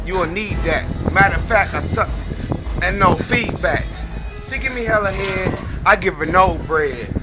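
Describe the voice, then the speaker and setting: High-voiced crude freestyle rapper in his twenties. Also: lo-fi sound. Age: 20s. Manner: boastful, crude, rhythmic half-sung chanting. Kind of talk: crude freestyle rapping at a park. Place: outdoor park.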